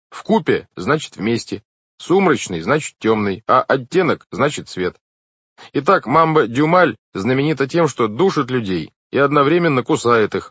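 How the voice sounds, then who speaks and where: A man's voice: mid-range voice, fairly bright tone, slightly rough, no room sound, pitched above the performer's own voice. A man voicing a clever, earnest, bookish boy from a storybook, explaining things emphatically. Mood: studious, eager. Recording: dull, lo-fi, dry recording.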